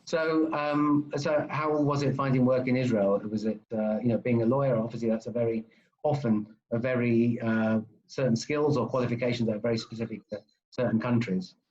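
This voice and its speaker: A man interviewing in a relaxed, slightly hesitant way, pausing to gather his questions. His voice has a middling pitch. The sound is dull and lo-fi. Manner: relaxed, hesitant, thinks aloud.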